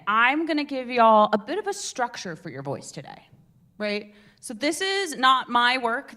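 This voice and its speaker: A young woman leading a casual public speaking workshop, chatty and animated, breaking off mid-sentence and asking the group questions. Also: on the high side. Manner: chatty, animated, self-interrupting, asks the room questions. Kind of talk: informal workshop teaching. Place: meeting room with a live group.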